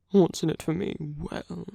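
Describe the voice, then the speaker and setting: A performer playing a suave, flirtatious villain at a royal masquerade in an ASMR audio roleplay, speaking softly and breathily with teasing, lingering pauses, close to the microphone. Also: mid-range voice, fairly even intonation, dry recording, heavily acted. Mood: sly, coaxing. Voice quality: breathy, soft, often near whisper. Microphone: close dry microphone.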